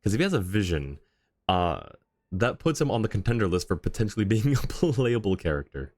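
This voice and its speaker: A man's voice: on the low side, very animated, fairly quick, a little mellow. A man doing casual live-stream game commentary, rambling and thinking aloud as he chats with viewers. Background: faint game music.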